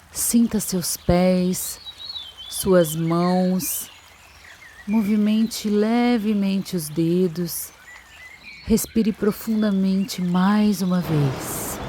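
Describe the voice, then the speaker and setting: A Brazilian woman narrating a scripted guided meditation in a soothing, measured, instructional manner. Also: lowish voice, warm tone. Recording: constant waterfall noise behind the voice. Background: waterfall and nature sounds.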